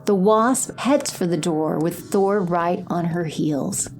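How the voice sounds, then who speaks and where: A woman's voice: lowish voice, slow, a little mellow, a little hiss or hum. A woman reading scripted scene narration for an audio drama.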